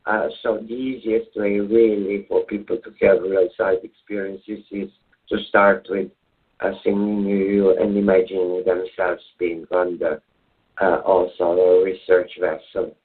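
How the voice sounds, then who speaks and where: Slow hesitant Serbian-accented man, tinny line. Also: low-pitched, flat delivery, slightly rough. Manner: hesitant, many filler sounds and restarts. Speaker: guest. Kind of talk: call-in radio interview. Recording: tinny phone-line audio.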